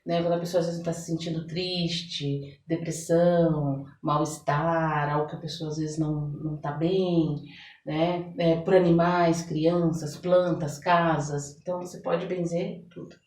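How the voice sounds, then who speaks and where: Echoey, low-voiced Brazilian woman explaining. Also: little pitch movement, measured pace, a little mellow. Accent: Brazilian Portuguese. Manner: reflective, explanatory, often seeking agreement with tag questions. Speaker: interview guest, holistic therapist and folk blesser. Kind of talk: conversational interview, explaining her practice. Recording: echoey room, distant mic, faint background noise.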